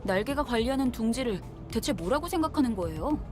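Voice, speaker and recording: A woman's voice: on the high side, expressive, very fast, lightly acted. An actress playing a sensible, exasperated young woman in a game, polite but chiding. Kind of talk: game voice acting. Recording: game audio with music.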